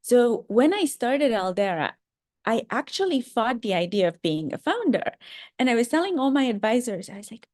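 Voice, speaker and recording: A woman's voice: on the high side, big pitch swings, moderate pace, a little mellow, no room sound, studio quality. A woman from Romania with a slight Romanian accent, giving a career talk to students and speaking earnestly, with many ums and restarts. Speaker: startup founder and CEO.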